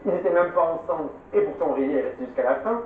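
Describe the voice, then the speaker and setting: A man speaks firmly in short, instructive sentences to the camera. His higher voice rings in a strongly echoey space, far from the mic and squeezed thin like a phone line. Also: expressive, racing, a little hiss or hum. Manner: direct, instructive. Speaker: reality TV contestant giving advice. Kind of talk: advice to camera.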